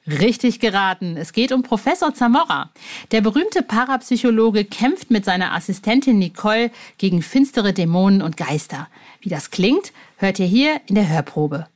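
A woman's voice: mid-range voice, very animated, normal speed. Woman reading a scripted promo. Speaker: advertising announcer.

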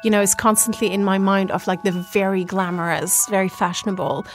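A woman's voice: medium pitch, fairly even intonation, a little fast, soft background music. A woman in her thirties with a Lebanese accent, speaking as an interview guest, reflective and full of restarts. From Algeria. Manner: reflective, many fillers and restarts. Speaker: guest, fashion founder. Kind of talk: conversational interview.

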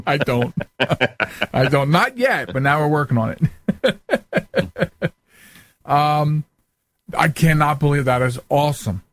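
Show host, male, 40s, fairly high pitch, dry sound, informal joking interview chat. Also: expressive, takes it slowly, long pauses, no room sound.

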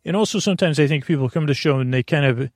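A man with a creaky, lulling voice hosting a bedtime podcast in a meandering, halting way, often interrupting himself. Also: a little high, no echo, clean studio sound. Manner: soothing, lulling. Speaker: podcast host. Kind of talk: rambling bedtime sleep podcast monologue.

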